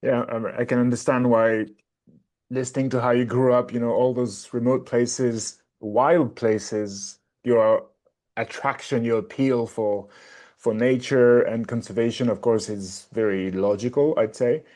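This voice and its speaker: A man's voice: mid-range voice, steady tone, measured pace, a little mellow. A man hosting a podcast interview, asking loosely phrased, friendly questions. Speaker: podcast host.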